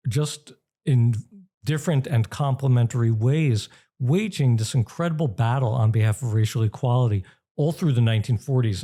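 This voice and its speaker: An older American man speaks in a very mellow, dark voice at an average pitch. He explains like a professor being interviewed, deliberate and emphatic, often pausing in the middle of a phrase. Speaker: interview guest, journalism professor and author.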